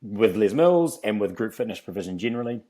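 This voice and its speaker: A man with a New Zealand accent talks as a podcast guest in a slightly low voice. He rambles through his story in a relaxed, chatty way, filling the gaps with ums and laughing easily. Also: fast. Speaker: podcast guest, fitness company founder.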